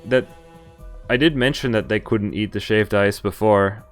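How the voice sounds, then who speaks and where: A man's voice: lowish voice, normal speed, faint music behind. A man, a native Dutch speaker, streaming a visual novel, reading it aloud and commenting casually as he goes, sometimes stumbling over words. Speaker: game streamer.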